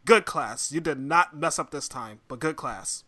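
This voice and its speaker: A man with a fairly high, bright and forceful voice co-hosts a podcast. He speaks informally, breaking in to add updates in a casual chat style. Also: measured pace. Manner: interjecting, informal. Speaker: podcast co-host.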